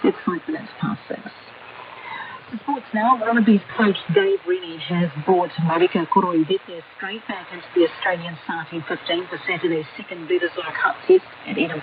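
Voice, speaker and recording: A woman with a New Zealand accent reading a scripted radio news bulletin over shortwave. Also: lowish voice. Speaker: radio newsreader. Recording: noisy narrow-band shortwave radio broadcast, distant.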